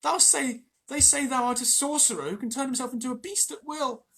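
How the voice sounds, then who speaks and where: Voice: high-pitched, brisk, gravelly, bright, forceful tone, husky, voice pushed up, full character voice. A storyteller voicing a distraught village mother who pleads anxiously with her son. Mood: pleading.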